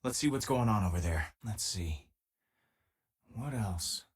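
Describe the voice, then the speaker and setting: A loyal, wry male crewmate from a space video game. His voice is husky and a touch low, with casual, self-deprecating delivery that moves freely in pitch. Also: animated, measured pace. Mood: casual, friendly. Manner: casual, conversational, dryly joking. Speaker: game companion character. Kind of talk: video game voice lines, naturalistic acting.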